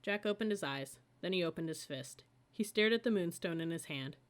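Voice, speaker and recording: A woman's voice: on the low side, animated, brisk. A woman reading a children's audiobook as scripted story narration. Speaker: audiobook reader.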